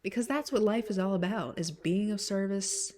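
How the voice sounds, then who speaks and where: A woman's voice: moderate pace, a little mellow. A young woman with a stuffy, congested voice, chatting casually and rambling through an introduction before singing a cover song. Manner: rambling, many fillers, swings up high when emphatic. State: stuffy nose from sinus trouble.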